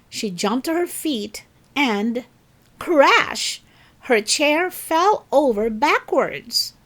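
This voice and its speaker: A woman hosting and narrating a children's story in an expressive, deliberate read-aloud style. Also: fairly high pitch. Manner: slow, deliberate, animated delivery for children. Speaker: story reader and channel host.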